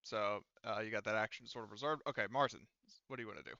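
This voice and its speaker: Male game master, forward bright tone, smooth and clean, casual rules talk, dry but slightly muffled recording. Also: fairly low pitch, fast talker, no echo. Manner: thinks aloud through rules and dice rolls. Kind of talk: running a tabletop roleplaying game, casual.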